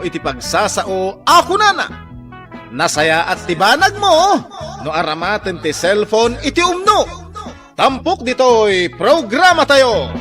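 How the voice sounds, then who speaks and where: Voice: medium pitch, pushed and bright. A narrator hosting an Ilocano radio drama with scripted, dramatic delivery. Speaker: drama program narrator and host.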